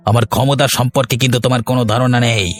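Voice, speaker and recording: A man's voice: medium pitch, steady tone, racing, close to an everyday voice. A man voicing a pushy, shifty village schemer in a cartoon, by turns wheedling and bullying. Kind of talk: cartoon story dialogue.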